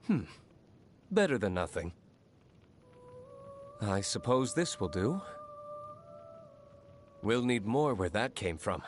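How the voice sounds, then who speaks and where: A brooding young vigilante nobleman from a video game. He speaks in a low, guarded voice with curt, measured, aloof delivery. Some noise sits behind the recording. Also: very animated. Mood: unimpressed. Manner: terse, cool, measured. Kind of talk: scripted video game character lines.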